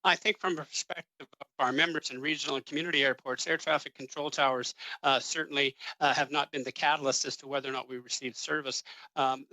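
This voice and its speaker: A man giving committee testimony as an industry representative, in a measured, slightly hesitant manner. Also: mid-range voice, steady tone, pushed and bright, no echo, rough recording. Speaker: airport association representative.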